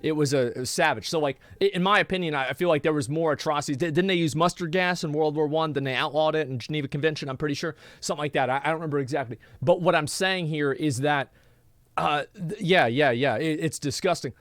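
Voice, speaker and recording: A man's voice: a little high, fast talker, fairly bright tone, no room sound, studio quality. A man streaming a game live, rambling in casual, profane chat with many restarts.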